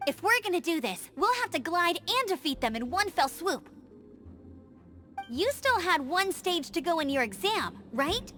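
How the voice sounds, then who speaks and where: A woman's voice: extremely high pitch, exaggerated voice. A woman voicing a peppy, eager young scout in a video game who takes charge, over game music. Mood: eager, upbeat. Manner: energetic, quick to take charge. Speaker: game character. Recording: game audio with music behind.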